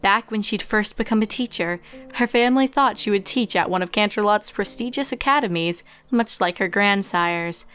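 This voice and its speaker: Woman narrating a story, mid-pitched voice. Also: normal speed, fairly bright tone, smooth. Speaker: fan fiction reader and narrator.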